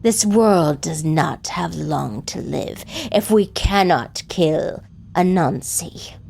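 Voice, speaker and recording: An actress playing a grave, defiant otherworldly woman, speaking in a measured, formal and dramatic way in a fantasy audio story. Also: deep voice, animated, vocal fry, a little mellow, exaggerated voice. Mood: grave, urgent.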